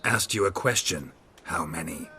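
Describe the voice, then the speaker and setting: An actor playing a grim, gruff mutant monster hunter in a video game, gravelly and coolly menacing. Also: male voice, deep voice, expressive, normal speed, bright, forceful tone, slight background noise, full character voice. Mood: cold challenge.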